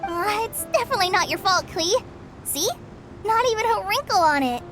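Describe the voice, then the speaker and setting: Tiny chirpy fairy girl, very high voice, lively swoops, game music behind. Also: moderate pace. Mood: cheerful. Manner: bubbly, speaks of herself by name.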